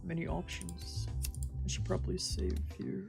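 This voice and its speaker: A woman's voice: fairly low pitch, level tone, normal speed, vocal fry, darkish tone, soft background music. A woman streamer narrating a visual novel, slipping into casual asides. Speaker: streamer doing a let's play. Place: indoor stream with game music behind.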